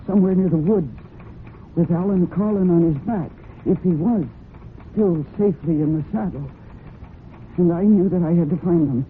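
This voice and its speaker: A woman's voice: lowish voice, moderate pace, natural voice. An actress playing a distraught, fiercely protective horsewoman, with a fraught, heightened delivery in old-time radio drama style. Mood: tense, foreboding. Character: distraught, fiercely protective horsewoman narrating her own crime story. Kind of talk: dramatic old-time radio acting with first-person narration. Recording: muffled phone-like old radio recording with hiss.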